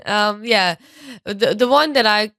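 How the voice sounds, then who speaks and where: A woman's voice: medium pitch, unhurried, a bit bright, no room sound, clean studio sound. A woman speaking casually as a panelist on a podcast, in a conversational way. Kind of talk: casual chat.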